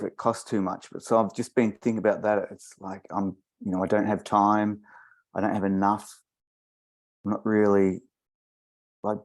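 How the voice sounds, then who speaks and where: A man in his fifties speaking in a coaching session over a video call, hesitant and halting, with many pauses. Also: fairly low pitch, gravelly, darkish tone. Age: 50s.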